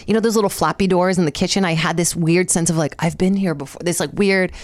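A woman's voice: on the low side, fast talker, no echo. A woman in her thirties, a comedian from Brazil, telling stories as a guest in a casual podcast chat and acting out the people she quotes. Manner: animated storyteller, acts out quoted voices, laughs often.

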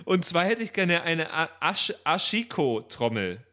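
Excitable man with a very animated voice. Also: a little high, a little slow. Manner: excitable, amused, breaks into laughter. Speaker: podcast player in an improvised audio play. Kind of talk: casual joking chat.

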